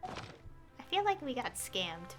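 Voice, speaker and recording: Woman game streamer, high bright voice, animated, casual commentary, faint music behind. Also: slow. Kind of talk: casual gameplay commentary and reading game text aloud.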